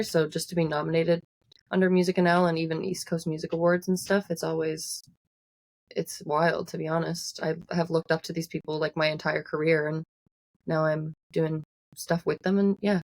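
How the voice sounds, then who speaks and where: A woman from Newfoundland with a softened Newfoundland accent, a singer-songwriter chatting casually as an interview guest, full of "like" and "I mean". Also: deep voice. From Newfoundland, Canada. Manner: chatty, uses many likes and I means.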